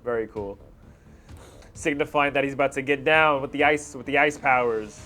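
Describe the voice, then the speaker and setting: A man's voice: medium pitch, moderate pace, sharp tone. A man hosting a reaction video, giving casual, slangy fan commentary on fight scenes. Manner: informal slangy fan talk.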